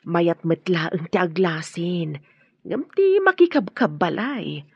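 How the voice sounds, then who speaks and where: An actress with a husky voice and Ilocano accent playing a worried, humble, caring mother in a radio drama. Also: lowish voice, animated, takes it slowly, a little mellow, no echo, highs cut off, heavily acted. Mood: worried, advising.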